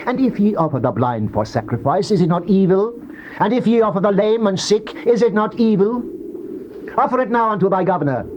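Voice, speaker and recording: A man's voice: sits high, lively intonation, a little fast. A man giving a solemn, theatrical dramatized reading of the King James Bible in a declamatory manner. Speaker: scripture narrator. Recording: dull, noisy old cassette tape.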